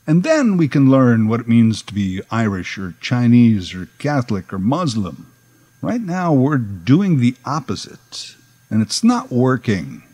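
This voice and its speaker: A man's voice: mid-range voice, big pitch swings, a little slow, mellow, dry recording. A middle-aged man, giving a sardonic, opinionated podcast monologue. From Canada. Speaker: podcast host.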